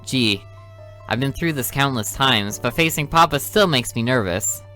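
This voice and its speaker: A man's voice: mid-range voice, animated, moderate pace, bright, forceful tone, soft background music. A man reading the thoughts and lines of a bored teenage girl who sneaks out at night. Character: restless, sneaky teenage girl, detective's daughter.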